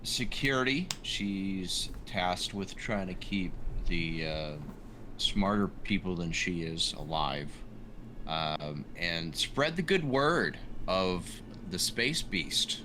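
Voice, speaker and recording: A man's voice: low voice, lively intonation, takes it slowly. A man chatting casually as a tabletop game player, with a hesitant, dryly joking manner. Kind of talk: casual chat, character introduction. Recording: noticeable background noise.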